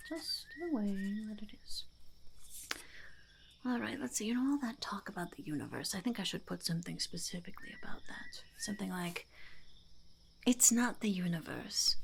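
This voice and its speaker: A woman voicing a blunt, earnest female goblin shopkeeper in an ASMR roleplay, whispering and speaking softly with a gravelly creak and musing to herself. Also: medium pitch, lively intonation, moderate pace, a little hiss or hum. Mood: musing, approving. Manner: musing, hesitant, repeating phrases to herself.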